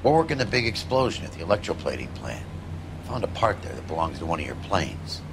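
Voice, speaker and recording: A man playing a gruff, terse police detective in a video game. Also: deep voice, very animated, brisk, noisy background. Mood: dry, terse. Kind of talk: video game cutscene acting.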